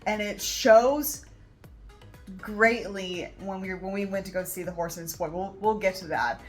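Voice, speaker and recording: A woman's voice: mid-range voice, measured pace, soft background music. A woman co-hosting a vlog, talking earnestly and emphatically, often restarting her sentences. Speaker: vlog co-host. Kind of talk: casual chat.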